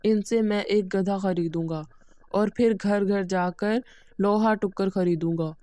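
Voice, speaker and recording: A cunning, lazy young cobbler from a cartoon story, played by a woman, always glib and persuasive. The voice is mellow, in a dry recording. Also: medium pitch, steady tone, measured pace, dry recording, voice pushed down, close to an everyday voice. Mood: eager.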